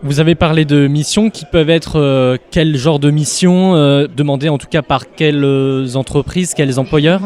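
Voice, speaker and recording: A man's voice: fairly high pitch, fairly even intonation, a little mellow. A man interviewing a guest for local radio, asking questions with many fillers and restarts. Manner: halting, filler-heavy questions.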